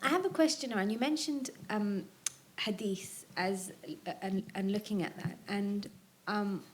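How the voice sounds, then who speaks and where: A woman's voice: medium pitch, unhurried. A woman in the audience of a public seminar, asking a long question with many hesitations.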